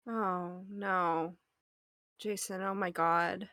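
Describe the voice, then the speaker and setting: A woman's voice: lowish voice, fairly even intonation, very slow, pushed and bright, no room sound, studio quality, full character voice. A woman acting an affectionate, teasing wife in a naturalistic audio drama table read, conversational and playful. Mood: sympathetic. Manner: conversational, playful, explains with examples. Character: affectionate, teasing wife consoling and humoring her partner.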